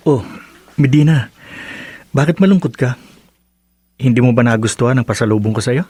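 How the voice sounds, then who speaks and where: An actor playing an earnest, devoted young husband and dutiful son in a radio drama, gentle and conversational, with a Filipino accent. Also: male voice, medium pitch, moderate pace, slightly rough, no room sound, soft background music, lightly acted. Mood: tender, reassuring.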